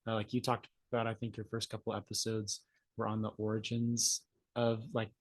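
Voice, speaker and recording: A man's voice: lowish voice, completely flat delivery, fairly quick, no echo. A man, explaining things casually as an interview guest on a video call. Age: 20s. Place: indoor room, video call.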